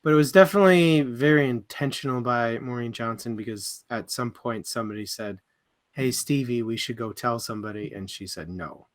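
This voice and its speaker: A man's voice: medium pitch, brisk. A man co-hosting a casual book discussion, speaking informally and steering the conversation. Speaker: book club co-host leading topics.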